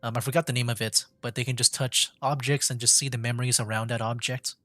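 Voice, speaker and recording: A man's voice: medium pitch, level tone, quick pace, a bit bright, dry recording. A man giving casual commentary as he streams a video game. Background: game music.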